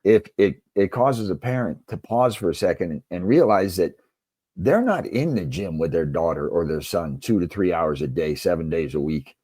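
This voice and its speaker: Deep, mellow middle-aged man. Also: animated, normal speed, no echo, clean studio sound. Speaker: interview guest, basketball coach and author. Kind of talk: conversational interview answers.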